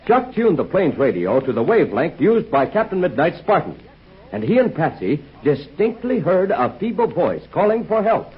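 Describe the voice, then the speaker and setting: Peppy old radio announcer guy, lively husky voice, dull muffled sound. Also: a little high. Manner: upbeat, salesy. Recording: dull 1940s radio transcription.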